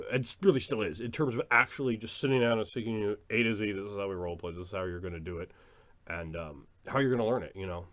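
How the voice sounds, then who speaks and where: An emphatic man talks casually to his viewers about his opinions. His voice sits at a middle pitch, and he repeats phrases to drive points home in a loose vlog monologue. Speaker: tabletop role-playing video creator.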